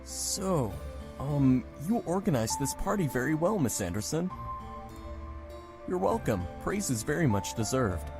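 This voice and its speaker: A woman puts on a young gentleman from a visual novel, with lively, swinging intonation. He is courteous and eager, a little hesitant and nervous, over prominent background music. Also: low-pitched, unhurried, pitched below the performer's own voice, full character voice. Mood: polite, eager. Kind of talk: visual novel character reading.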